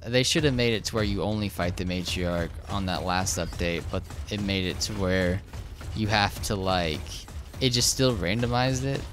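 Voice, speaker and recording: Low-voiced rambling young gamer man. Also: normal speed, slight background noise. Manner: rambling, hesitant, frequent filler words and restarts. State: slightly hoarse. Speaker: gameplay commentator. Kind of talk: casual commentary over gameplay. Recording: game gunfire loud behind voice. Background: shooter game sounds and gunfire.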